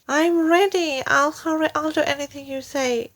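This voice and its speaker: Woman performing panicky wife, storybook character, high voice, gullible, urgent, melodramatic cries. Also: steady tone, moderate pace, a bit bright. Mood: desperate pleading. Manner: crying out urgently, gasping pleas.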